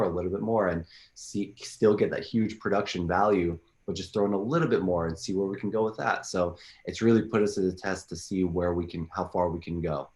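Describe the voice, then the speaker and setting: A very low-pitched man talks loosely as an interview guest, circling back with lots of "like" and restarts. His voice rings in a roomy, echoing space. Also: a little mellow. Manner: rambling, filler-heavy, frequent restarts. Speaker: filmmaker guest. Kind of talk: casual interview answers.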